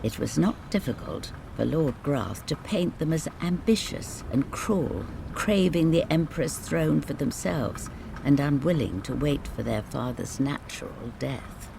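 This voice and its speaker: Bedtime fairy tale narrator: measured, dramatic, lively intonation, mid-low register, clear rain noise behind. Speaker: storyteller. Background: rain ambience.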